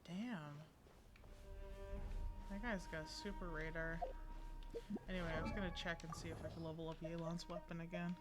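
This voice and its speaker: A woman's voice: on the low side, fairly even intonation, moderate pace, pauses often. A woman game streamer giving casual live commentary, informal and muttering to herself. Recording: game music clearly behind voice.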